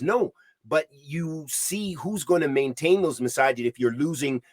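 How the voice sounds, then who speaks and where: A man co-hosting a podcast, talking in an emphatic, preachy way with many restarts and Arabic religious terms, getting louder when heated. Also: medium pitch, no echo. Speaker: podcast co-host. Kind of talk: impassioned podcast discussion.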